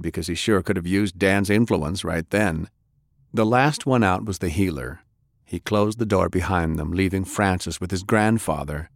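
A man narrating an audiobook in a measured, expressive reading. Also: deep voice, no echo, clean studio sound.

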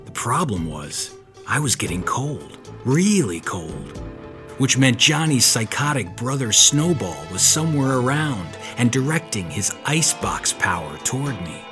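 A man's voice: medium pitch, sing-song, a little slow, music in the background. An actor playing a hard-boiled American hero, narrating his own story in wry noir style for an audio drama. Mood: wry, tense. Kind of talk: noir first-person narration.

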